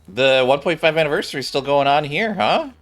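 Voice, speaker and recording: A man's voice: fairly high pitch, animated, moderate pace, a bit bright, faint music behind. A man streaming a video game, giving casual, rambling commentary as he reacts aloud.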